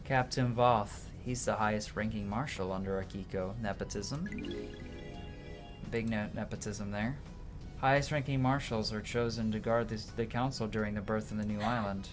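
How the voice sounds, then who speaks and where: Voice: mid-range voice, fairly even intonation, moderate pace. Streamer reading dialogue aloud over music. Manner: dramatic reading with stumbles and self-corrections.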